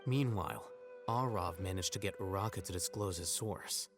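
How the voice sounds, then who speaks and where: An actor playing a measured scholar in a game cutscene, reporting research. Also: male voice, deep voice, music in the background.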